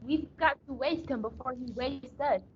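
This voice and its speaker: A woman's voice: sits high, normal speed, slight background noise. A woman playing a sardonic, impatient radio actress in a radio play, biting and dramatic. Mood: pressing. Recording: dull lo-fi recording.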